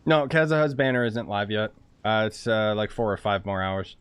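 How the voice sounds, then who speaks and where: A man's voice: lowish voice, animated, moderate pace, smooth, dry recording. A man giving casual, rambling gameplay commentary in an informal way. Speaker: gaming YouTuber. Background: game music.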